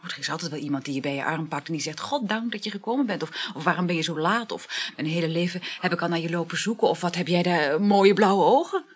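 An actress playing an impulsive, talkative, lovestruck woman in a naturalistic radio drama, effusive and eager, with a breathy voice. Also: on the low side, quick pace, hardly any pauses. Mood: breezy, animated. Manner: effusive, full of exclamations.

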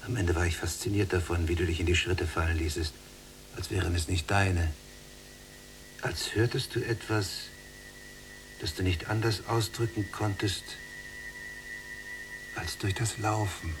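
A sceptical younger prisoner in a radio play. His low voice has a strong creak, and he phrases things drily and precisely. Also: steady tone, unhurried, long pauses, over music, rough recording. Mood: fascinated, reflective. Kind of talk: literary radio drama acting.

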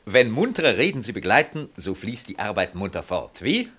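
A man's voice: lowish voice, very animated, moderate pace, a bit bright, breathy edge, full character voice. A vain professor in a 1960s radio drama. He is jovial and gushing, forever angling for favour and prompting his listener. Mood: jovial, wheedling. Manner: gushing, jovial, ends remarks with "Wie?". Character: vain, fawning married professor hungry for a title. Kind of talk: 1960s German radio play acting.

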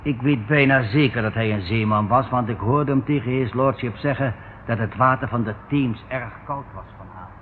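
An actor in a radio drama playing a formal, dutiful doorman who speaks in a stiff, deferential, measured way. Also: medium pitch, lightly acted. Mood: formal, discreet. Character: formal, dutiful doorman of a London residential building. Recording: tinny, noisy old radio recording.

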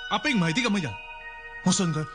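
A man's voice: sits high, sing-song, rapid-fire, music in the background. A man playing a sincere, trusting and determined young grandson in a radio drama. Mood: firm.

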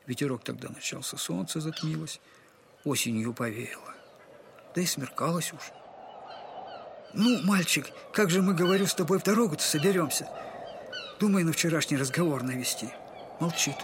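Humble, wounded captain, high-pitched. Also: male voice, expressive, creaky, background noise. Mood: grieving. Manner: servile old-fashioned speech, effusive and halting. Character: humble, wounded retired captain, a loving poor father. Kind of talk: dramatic monologue in an audio drama.

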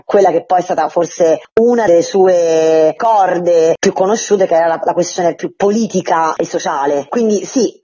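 A woman's voice: fairly low pitch, mellow, husky, highs cut off. A woman, an actress, being interviewed and explaining her work in an expansive, articulate, conversational way.

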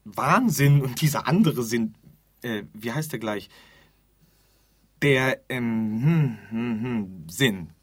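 An actor playing an offhand, forgetful keeper of an empty afterlife in a radio drama, rambling and groping for words. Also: male voice, medium pitch, expressive, takes it slowly, slightly rough, no echo. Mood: hesitant, matter-of-fact. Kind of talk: theatrical radio drama dialogue.

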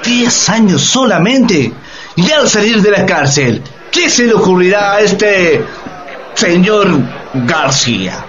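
High-pitched, indignant hard-liner. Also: male voice, very animated, takes it slowly, a bit bright, dull audio, soft background music, exaggerated voice. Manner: emphatic, rhetorical. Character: indignant, hard-line American nationalist commentator. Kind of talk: satirical radio drama acting.

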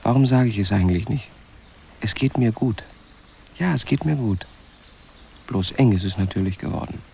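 A man's voice: fairly low pitch, moderate pace, vocal fry, warm tone, husky, heavily acted. An actor playing a wry, ironic man who muses about the people watching him, in a radio play monologue. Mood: wry, musing. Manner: ironic, musing. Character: wry man under surveillance, narrating his watchers.

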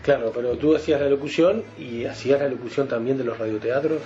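A Uruguayan man with a dark, mellow voice conducts a conversational radio interview. The sound is slightly dull, with faint music underneath. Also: medium pitch, fast talker.

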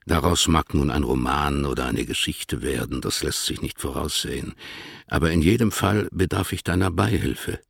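A dignified older man of letters in a radio play. His low voice is gravelly and husky. He speaks in formal, deliberate phrases, fond yet instructive. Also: no echo, studio quality, full character voice. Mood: courteous request. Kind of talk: literary radio drama, formal letter reading.

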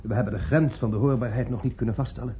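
A hesitant male assistant as an actor plays him speaks in a very soft-edged, dark voice. He is formal and apologetic, on a muffled old radio recording with faint noise. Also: medium pitch, fast, lightly acted. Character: hesitant, deferential male scientific assistant reporting to superior. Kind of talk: radio drama dialogue.

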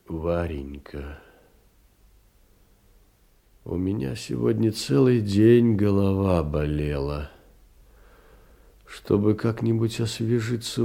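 An actor playing a lonely, meek middle-aged clerk, musing tenderly in a husky, gravelly voice in a theatrical monologue. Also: male voice, deep voice, mellow, heavily acted. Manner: musing, intimate, hesitant storytelling. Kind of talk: theatrical literary monologue in an audio play.

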